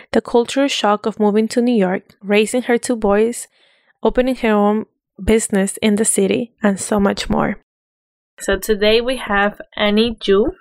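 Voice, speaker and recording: A woman's voice: medium pitch, a little mellow. Curious woman podcast host. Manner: eager, curious questioning with quick reactions. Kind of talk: parenting podcast interview.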